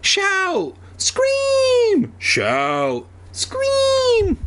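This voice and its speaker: A man voicing a silly, excited playground crowd in a comic audiobook, with shrieking whoops and shouts. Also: mid-range voice, drawn-out words, clear, resonant tone. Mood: shrill shouting. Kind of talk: comic audiobook character reading.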